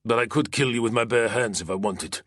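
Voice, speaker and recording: A man's voice: mid-range voice, fairly quick, fairly bright tone, no room sound, clean studio sound, close to an everyday voice. A man doing a blunt, menacing Roman soldier. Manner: blunt, threatening, clipped. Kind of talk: audiobook character voice.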